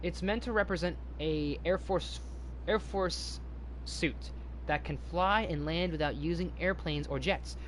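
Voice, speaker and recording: Proud young woman inventor played by a man, earnest and defiant, fairly high voice, story reading. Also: measured pace, fairly bright tone, a little hiss or hum, rough recording. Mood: earnest, nervous.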